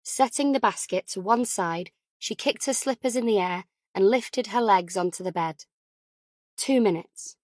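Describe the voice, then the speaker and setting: A woman's voice: medium pitch, a little slow, darkish tone, no room sound, clean studio sound. A woman reading a thriller novel as a scripted audiobook narration.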